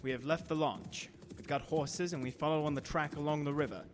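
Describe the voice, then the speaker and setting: A resolute young vampire hunter played by a male actor reads out measured journal entries, his voice on the high side. The live radio horror play carries long reverb and clear noise. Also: fairly even intonation, natural voice. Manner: measured, diary-like delivery. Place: small theater stage. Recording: noisy live stage broadcast, long reverb, hoofbeats.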